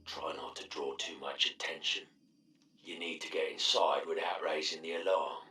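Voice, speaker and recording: An actor playing a shady, wary underworld sidekick in a video game, with a hushed, dry, conspiratorial manner. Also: male voice, a bass voice, fairly even intonation, moderate pace. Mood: wary, sardonic. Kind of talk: video game cutscene acting. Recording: echoey, distant from the mic.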